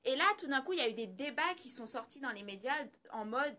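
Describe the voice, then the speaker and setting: A woman's voice: high voice, expressive, fast talker, pushed and bright. A woman commentating on social issues in a conversational, explanatory way, repeating phrases for emphasis.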